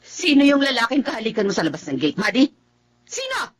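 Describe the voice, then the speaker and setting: A woman's voice: a little high, sing-song, a little fast. An actress playing a strict, exasperated mother in an emotional Filipino drama, with a scolding, emphatic delivery. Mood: angry scolding. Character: Erica, strict exasperated adoptive mother of a wayward daughter.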